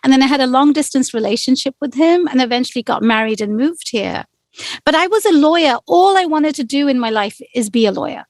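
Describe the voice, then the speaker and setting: Woman, 40s, London accent, animated guest storyteller, bright-leaning voice. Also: high-pitched, fairly quick, no echo, clean studio sound. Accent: London English. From London, England. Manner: warm, animated storytelling with laughs. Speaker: immigration lawyer, guest. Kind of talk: casual interview talk.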